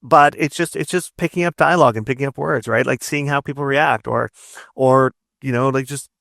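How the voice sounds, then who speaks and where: A man's voice: mid-range voice, a little fast, gravelly, a bit bright, no room sound, studio quality. A man giving conversational interview advice as a game writer, explaining emphatically and often checking with "right?". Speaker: guest narrative designer and game writer.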